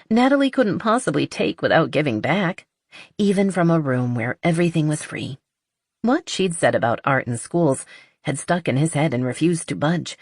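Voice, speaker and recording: Low voiced lady reading a romance audiobook, really dry studio sound. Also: husky, dry recording. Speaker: audiobook narrator. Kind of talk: scripted narration of a romance novel.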